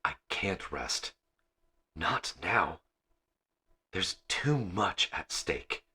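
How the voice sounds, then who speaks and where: Man reading as a determined female starship captain, low-voiced. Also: big pitch swings, extremely slow, pushed and bright, full character voice. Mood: weary, insistent.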